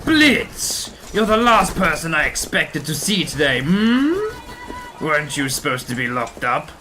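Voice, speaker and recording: A man's voice: on the high side, very animated, measured pace, background noise. A man playing a wary, haggling scrapyard trader in an audio drama, drawing out his words with exclamatory delivery. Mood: surprised, friendly. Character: gruff-dealing male rebel scrapyard trader, wary haggler.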